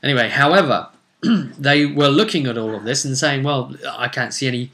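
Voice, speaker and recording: A man's voice: medium pitch, normal speed, fairly bright tone. A man recapping a tabletop fantasy game as game master, telling it casually but dramatically with wry asides. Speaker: game master and series presenter.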